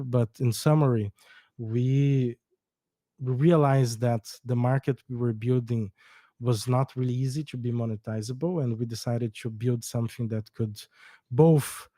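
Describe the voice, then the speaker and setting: A man's voice: medium pitch, mellow, dry recording. A young adult man from Brazil with a Brazilian Portuguese accent, a startup co-founder explaining his company earnestly in a podcast interview.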